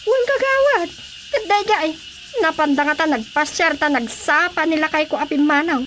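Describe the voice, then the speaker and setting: Casting: plaintive Ilocano village wife, high voice, radio comedy drama, faint noise behind. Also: female voice, lively intonation, normal speed, fairly bright tone.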